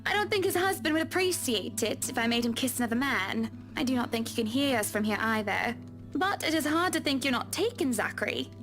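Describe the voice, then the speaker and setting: Man doing a teasing married woman, coy falsetto, high-pitched, soft game music behind. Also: animated, brisk, full character voice. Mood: flirty teasing. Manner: playful, coy, sing-song falsetto.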